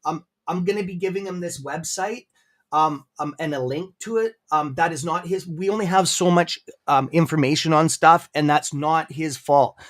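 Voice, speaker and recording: A man's voice: a little high, moderate pace, a bit bright. A Canadian man rambling through stock holdings in a casual livestream chat, with many fillers and a friendly tone. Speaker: guest presenter on a stock livestream.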